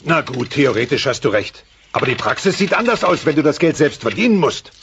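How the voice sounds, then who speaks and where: A man's voice: mid-range voice, sing-song, fairly quick, vocal fry, background noise. An actor voicing a pompous, self-satisfied middle-aged dinosaur father who lectures in a German cartoon audio play. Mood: blustery lecturing. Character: blustery, self-satisfied dinosaur dad, stingy and preachy. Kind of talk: German children's audio drama, cartoon adaptation. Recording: dull cassette audio drama, music behind.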